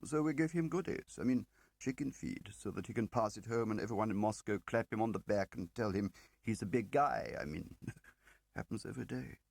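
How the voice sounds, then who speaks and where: A man's voice: lowish voice, moderate pace, creaky, darkish tone, husky, no room sound, pitched above the performer's own voice. A man voicing a defensive, shifty spy in an audiobook, with hesitant, colloquial, foreign-sounding phrasing. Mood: defensive, agitated. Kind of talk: dramatic audiobook character reading.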